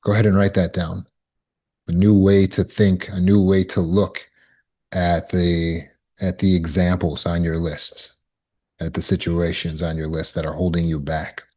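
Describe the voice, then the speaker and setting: A man teaching a self-help lesson as a recovery coach, speaking slowly and deliberately and repeating affirmations. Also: sits low, level tone, a little mellow.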